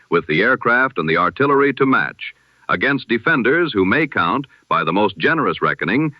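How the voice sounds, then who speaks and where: A man reads a scripted news bulletin in 1920s radio style, formal and solemn, with measured oratorical delivery through an old-time radio filter. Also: mid-range voice, no room sound. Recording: dull, band-limited vintage radio sound.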